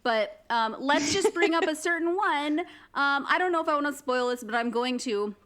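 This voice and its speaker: A woman podcast co-host chatting casually, telling things in an animated way peppered with "like". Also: sits high, moderate pace. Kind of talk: casual chat.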